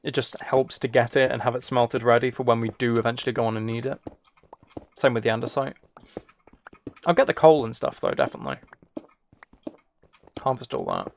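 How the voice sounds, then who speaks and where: Gravelly-voiced man chatting on a game stream. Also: mid-range voice, lively intonation, fairly quick, pauses often, slight background noise. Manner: rambling, thinking aloud, replying to viewers. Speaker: livestreamer, gaming and ASMR channel creator. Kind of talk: casual live stream chat while gaming.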